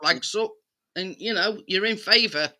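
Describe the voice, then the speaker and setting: A woman's voice: medium pitch, a little slow, sharp tone, slight background noise. A woman from England chatting casually and insistently, circling around her point and checking that she is understood. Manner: insistent, circling, frequent "you know what I mean". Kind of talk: casual opinionated live-stream chat.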